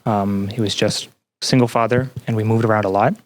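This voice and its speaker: A young man reflecting earnestly and haltingly as a guest in a podcast interview. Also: lowish voice, steady tone, dry recording. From Canada. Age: young adult. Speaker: game developer and student.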